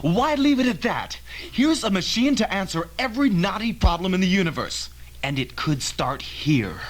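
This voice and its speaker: Man playing brash impatient physicist, highish voice. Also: lively intonation, normal speed. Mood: eager, insistent. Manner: insistent, curt, emphatic. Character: brash, impatient, arrogant young male physicist. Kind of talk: old-fashioned radio drama acting.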